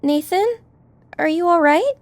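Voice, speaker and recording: Audiobook role: concerned young woman, soft-hearted and hesitant, high voice, very dry recording. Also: measured pace, dry recording. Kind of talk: audiobook drama acting.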